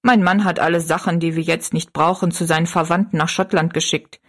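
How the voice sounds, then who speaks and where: A woman's voice: fairly low pitch, steady tone, brisk. A woman voicing a defensive, self-satisfied older married woman in an audiobook story, evasive and self-justifying.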